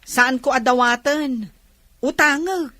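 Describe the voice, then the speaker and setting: A woman's voice: high-pitched, slow, sharp tone, no room sound, exaggerated voice. A woman playing a nosy, scoffing village auntie who pesters for money in a comedy radio drama. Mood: scoffing, pushy.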